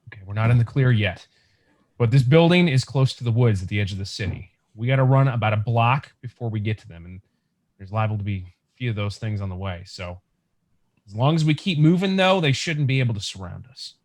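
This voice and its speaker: A man's voice: medium pitch, lively intonation, a little fast, darkish tone, close to an everyday voice. A man acting a young, practical, reassuring scavenger survivor in an urgent, conversational comic book table read over a video call. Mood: cautious, instructing.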